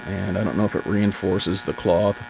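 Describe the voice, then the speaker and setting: A man talking casually and unscripted as he demonstrates his hobby repair work, in an easygoing, halting, rambling way at home. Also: low voice, steady tone, creaky, a crowd in the background. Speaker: vintage radio repair hobbyist and video host. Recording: noisy home room recording with ambient sound.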